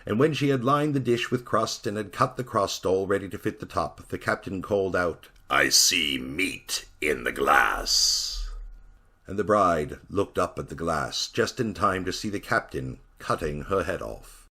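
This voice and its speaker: Deep, husky male storyteller. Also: normal speed, hardly any pauses. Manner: theatrical, expressive, with dark relish. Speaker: narrator. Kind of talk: dramatic storytelling reading.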